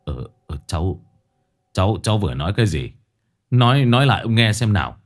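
A gruff old village husband with a deep, hushed voice and a wary edge, as a male storyteller puts him on. His speech is low and quiet, with lively swings in pitch. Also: a little fast. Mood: startled.

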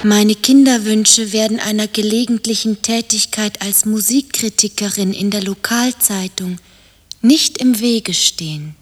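An actress playing a dreamy, measured woman musing aloud in a satirical radio play. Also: medium pitch, close to an everyday voice. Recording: long reverberant hall.

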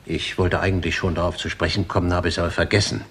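An actor playing a formal, deferential manservant in a 1960s German radio crime drama, speaking politely and with measured care in a gravelly, husky voice. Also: male voice, low-pitched, little pitch movement, fairly bright tone. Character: formal, deferential manservant giving evidence to police.

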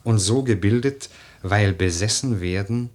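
An actor playing a young, world-weary widower in a verse radio drama, speaking gravely and deliberately. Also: male voice, lowish voice, a little mellow. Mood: resigned, musing. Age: young adult.

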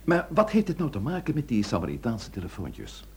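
An actor in a Dutch radio drama playing a sceptical, wry police inspector who hums and probes with questions. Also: male voice, mid-range voice, wildly swooping pitch, fairly quick, husky, exaggerated voice. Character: gruff, sceptical police inspector, career-minded former suitor.

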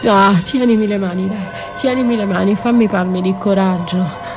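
An actress playing a battered, dreamy and desperate wife in a poetic theatrical radio monologue, halting and repeating her words. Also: mid-range voice, fairly even intonation, close to an everyday voice. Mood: urgent, musing. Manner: halting, repeating words, swinging from murmur to cries. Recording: music under the voice. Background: music.

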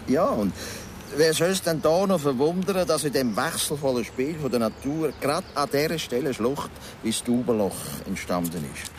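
A man's voice: mid-range voice, animated, normal speed, close to an everyday voice. An actor playing a charming, flirtatious man who likes to explain things, in natural Swiss German radio drama dialogue, with faint background noise. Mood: eager lecturing.

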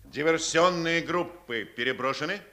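An actor in a 1950s radio play voicing a stern, self-important German army general in a measured, formal, commanding way. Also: male voice, on the high side, sharp tone. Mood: brisk questioning. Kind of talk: 1950s Soviet radio theatre acting.

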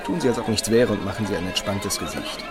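Actor as a curt low gunman, loud music behind. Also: fast, a loud crowd, close to an everyday voice. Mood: threatening. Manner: terse, commanding. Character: curt, threatening male gunman forcing a hostage. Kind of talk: German radio drama acting.

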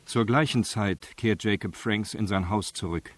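An actor narrating a radio crime drama in a sober, factual, detached documentary style. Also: male voice, fairly low pitch, measured pace, heavy background noise, close to an everyday voice.

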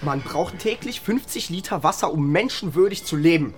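Defiant teenage son played by a man, high and animated, cheeky, confrontational radio drama role. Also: normal speed, soft background music, close to an everyday voice. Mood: defiant, sarcastic. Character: brash, defiant seventeen-year-old activist son of a mayor. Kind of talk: naturalistic radio drama acting.

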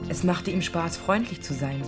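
A woman's voice: fairly low pitch, normal speed, over music. A woman giving scripted storybook narration in a radio play.

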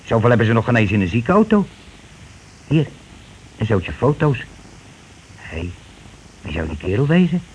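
A self-righteous working-class husband played by a male actor in a radio play, heard through a slightly noisy old recording. He grumbles and lays down the law with pompous indignation, at a middling pitch. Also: big pitch swings, normal speed, pauses often, close to an everyday voice. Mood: curious, scandalized.

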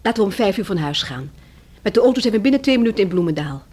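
A spirited fiancée of about thirty in a radio play. Her voice is husky and sits at a middling height. She is bossy and teasing with the people around her. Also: medium pitch, animated, rapid-fire, darkish tone. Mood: insistent. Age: 30s. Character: spirited thirty-year-old well-to-do fiancée, teasing and insistent. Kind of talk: radio drama acting.

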